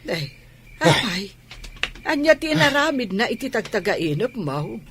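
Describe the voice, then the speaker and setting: A woman's voice: a little high, sing-song, unhurried, a bit bright, slight background noise, exaggerated voice. A woman playing a dramatic, fretting village mother who exclaims and scolds, in Ilocano radio comedy-drama style. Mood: reproachful.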